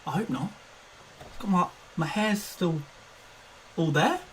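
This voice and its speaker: A man's voice: sits high, takes it slowly, a bit bright, a little hiss or hum, rough recording. A man in his twenties chatting casually on a live stream, self-mocking and laughing often. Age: 20s. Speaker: fantasy football streamer.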